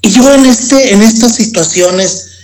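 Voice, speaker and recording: A man's voice: sits high, a little slow, a little mellow, rough recording. A man with a Mexican accent giving a personal testimony in conversation, reflective and repeating phrases for emphasis. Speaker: guest telling a personal testimony.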